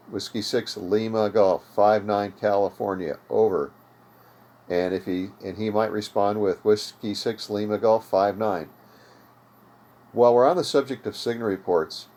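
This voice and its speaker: Older American male host, low-pitched, conversational explainer for an informal how-to series. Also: normal speed, darkish tone. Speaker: host of an amateur radio tutorial series. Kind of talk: informal unscripted tutorial talk. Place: home radio room.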